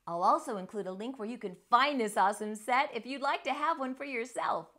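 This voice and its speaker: A middle-aged woman hosting a children's storytime, speaking in an animated, expressive way to young readers. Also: a little high, fairly quick. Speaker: host of children's read-aloud show. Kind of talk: children's storytime read-aloud and presenting. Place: small furnished room indoors. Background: music.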